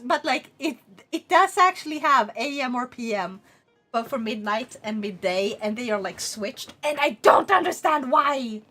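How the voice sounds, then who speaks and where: Casting: Swedish woman, native Swedish speaker, rambling casual streamer, high bright voice. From Sweden. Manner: animated, rambling, swears, laughs often. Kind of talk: casual livestream chat.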